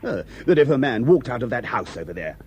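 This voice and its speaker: An actor playing a polite, inquisitive amateur sleuth, questioning and mildly sceptical in a radio drama. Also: male voice, mid-range voice, sing-song, fast, slightly rough. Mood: curious, doubtful. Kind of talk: British radio drama dialogue.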